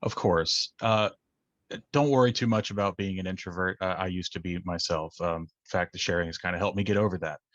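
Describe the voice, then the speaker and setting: A reassuring group spokesman played by a man with a deep voice. He speaks with small hesitations, and the sound is dry and slightly dull, like an online event in an audio drama. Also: steady tone, a little fast, fairly bright tone, no echo.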